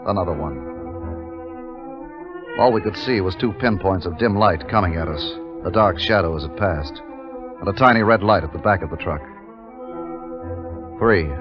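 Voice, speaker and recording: A weary, tense army captain in a vintage radio thriller. His low voice carries wartime narration and orders, on a muffled old recording with music behind. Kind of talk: 1950s radio drama acting and first-person narration.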